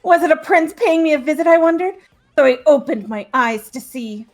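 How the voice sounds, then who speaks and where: A woman's voice: high voice, lively intonation, fairly quick, soft background music. Woman voicing a gleeful young serial killer.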